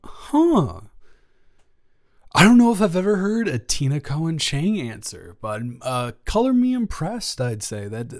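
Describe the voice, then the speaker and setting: A man's voice: fairly high pitch, sing-song, unhurried, dry recording, studio quality, pitched above the performer's own voice. A man playing a flirty, joking office boss in an improvised roleplay audio, stammering and interrupting himself as he teases. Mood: joking, chatty. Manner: rambling, stammering, self-interrupting; playful, teasing.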